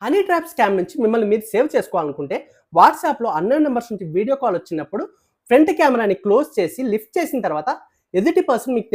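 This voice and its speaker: A woman's voice: medium pitch, darkish tone. A woman narrating news items from a script as presenter.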